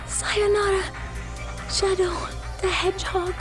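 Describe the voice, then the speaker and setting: Very high, gentle pleading girl. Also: little pitch movement, takes it slowly, music in the background. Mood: pleading, tender. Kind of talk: video game voice acting.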